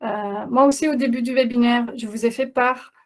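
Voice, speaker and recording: A woman's voice: fairly high pitch, fairly even intonation, normal speed. A woman who is not a native French speaker, a parenting coach giving a webinar presentation, talking hesitantly with many fillers and restarts.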